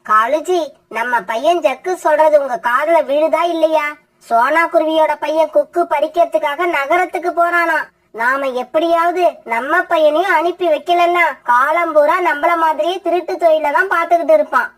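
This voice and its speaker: A scheming mother crow from an animated fairytale, acted by a woman. Her voice is extremely high-pitched. She nags and pushes insistently. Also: normal speed. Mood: nagging. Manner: nagging, insistent. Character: scheming, nagging mother crow, thief's wife. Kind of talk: animated cartoon fairytale dialogue.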